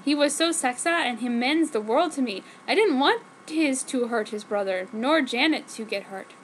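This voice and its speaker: A woman's voice: high-pitched, animated, normal speed, poor audio. A woman reading a story aloud in a mock-dramatic, melodramatic way, tripping over misspelled words. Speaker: amateur fanfiction reader. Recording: noticeable background noise.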